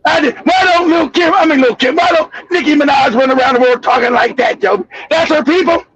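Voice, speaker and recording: A man hosting a show, delivering a loud, profane, mocking commentary rant. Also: extremely high pitch, a little fast, dry recording, poor audio. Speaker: show host.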